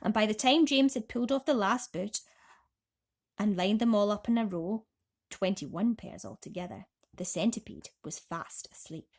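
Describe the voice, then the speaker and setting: A woman narrating a bedtime story for children as an expressive storyteller. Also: mid-range voice, normal speed.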